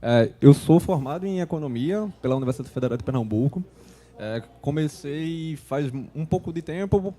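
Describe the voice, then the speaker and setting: A man, hoarse from a lingering cold, speaking as a panelist on a conference stage and often clearing his throat. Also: a little high, expressive, moderate pace, mellow. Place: conference stage before an audience.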